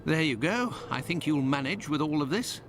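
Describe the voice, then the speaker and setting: An actor playing a sharp, probing detective, recorded as game audio with noise behind. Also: male voice, a little high, expressive, moderate pace. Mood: inquisitive. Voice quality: crisp, probing questions. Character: sharp, clipped adult male detective. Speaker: game character. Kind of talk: video game voice acting.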